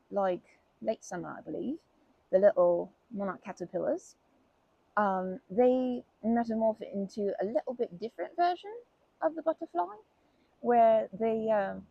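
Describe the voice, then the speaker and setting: Woman playing a creaky, breathy, kindly old grandma. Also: a little high, dry recording, dull audio, exaggerated voice. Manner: gentle, rambling, folksy wording, soothing asides. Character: kindly, chatty old grandma comforting a crying youngster. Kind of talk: ASMR comfort roleplay.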